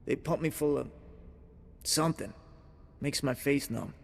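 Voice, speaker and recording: Gravelly, groggy young male lieutenant. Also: medium pitch, a little slow, long reverb tail. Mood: dazed, relieved. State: groggy, dazed. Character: drugged, rescued male fleet lieutenant, dazed and grateful. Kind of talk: video game cutscene acting.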